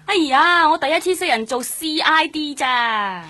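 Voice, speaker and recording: A woman with a Hong Kong Cantonese accent playing a nosy, excitable, cheeky dinner companion in a radio drama, pushy and playful. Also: high-pitched, a little slow, exaggerated voice. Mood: excited, curious. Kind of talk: lively radio drama acting.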